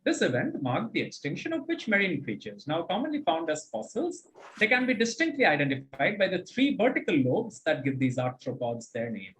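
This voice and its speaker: A male quizmaster with a fairly high voice and very animated intonation. He reads questions articulately, then adds encouraging comments and explanations as he goes. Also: fairly quick, poor audio. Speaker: quizmaster and host. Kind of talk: reading quiz questions and commenting on answers.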